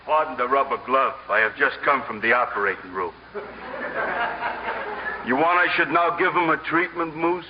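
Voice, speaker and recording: A man plays a sinister henchman called a surgeon in an old radio comedy. He is formal, deferential and ghoulishly deadpan. The broadcast is dull and noisy. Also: mid-range voice, normal speed, long pauses, close to an everyday voice. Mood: polite menace. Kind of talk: 1950s radio comedy acting.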